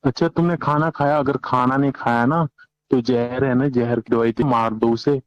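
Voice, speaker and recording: A man speaking casually and haltingly on a private phone call. His voice sits in an ordinary middle pitch. The call is muffled and dry, with no room sound. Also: steady tone, no echo. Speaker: caller in leaked phone recording. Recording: dull lo-fi phone audio.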